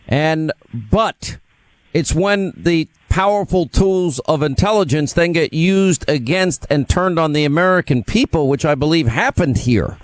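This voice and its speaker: A male host pressing his points emphatically and steering his guests with leading questions. His voice sits a little high for a man, and the recording is close and dry with no room sound. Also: dry recording. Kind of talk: interview talk.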